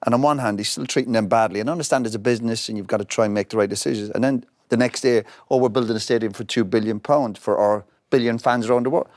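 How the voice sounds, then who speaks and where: A man's voice: medium pitch, quick pace, few pauses, a little mellow, dry recording, studio quality. A man giving his opinions in a casual football panel discussion.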